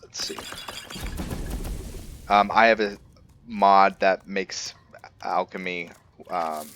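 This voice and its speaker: A man's voice: deep voice, fairly even intonation, slow pace, a bit bright, a little hiss or hum. A man giving casual gameplay commentary, thinking aloud and musing to himself as he goes. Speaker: gameplay video host.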